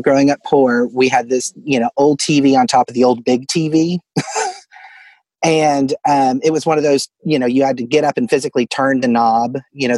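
Male caller from Arkansas, mid-to-low voice, casual chuckling storyteller, very dry closet sound. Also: darkish tone, no echo, poor audio. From Arkansas, United States. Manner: chuckles through his story. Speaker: caller telling a personal ghost story. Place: closet at home.

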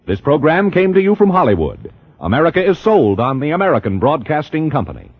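A man's voice: a little high, wildly swooping pitch, brisk, muffled recording. A man announcing in scripted 1950s radio style, with formal, emphatic delivery on a vintage broadcast.

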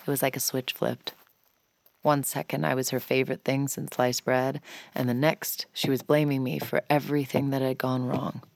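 An actress playing a guarded, wry adult daughter in a naturalistic audio drama, speaking in an understated, conversational way. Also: female voice, a bass voice, flat delivery, normal speed, vocal fry, a little mellow, no echo. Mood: wistful, reflective. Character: guarded, wry adult daughter, a recovering addict longing for closeness. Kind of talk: naturalistic audio drama acting with confiding narration.

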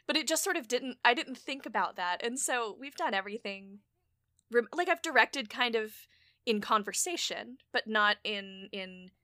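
A young woman who is hard of hearing chats easily and pokes fun at herself, often laughing as she talks. Her voice is bright and a little high. It comes through a dry home microphone close up. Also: expressive, no room sound. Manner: chatty, self-mocking, quick laughs. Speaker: podcast host and producer. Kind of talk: casual chat. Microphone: home mic over voice chat.

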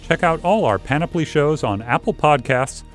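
A man hosting a podcast network trailer, reading scripted promo narration in an upbeat, even announcer manner. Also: fairly high pitch, normal speed, faint music behind. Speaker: network host.

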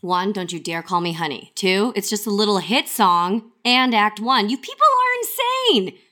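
A woman playing a high-strung, indignant songwriter near breakdown in a comedic audio drama, shrill and emphatic. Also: sing-song, normal speed, pushed and bright. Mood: indignant, frantic.

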